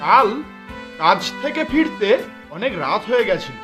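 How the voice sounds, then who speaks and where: A man playing an anxious, excitable village man who frightens easily, in a ghost cartoon. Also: high voice, moderate pace, over music. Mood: uneasy.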